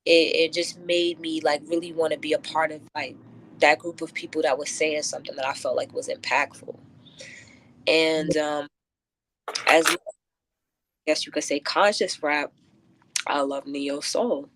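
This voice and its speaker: A woman answering radio interview questions as a guest poet, thoughtful and halting, often filling with "like". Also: sits low, steady tone, a little hiss or hum, rough recording. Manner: thoughtful, halting, many filler likes. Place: close-miked radio show.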